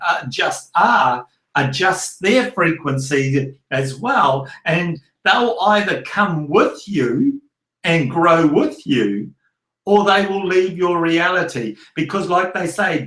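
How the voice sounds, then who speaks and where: A man's voice: a little high, a bit bright. Rambling New Zealand man, echoey room. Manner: loose and rambling, with many restarts and fillers. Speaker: prosperity coach. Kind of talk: online masterclass talk.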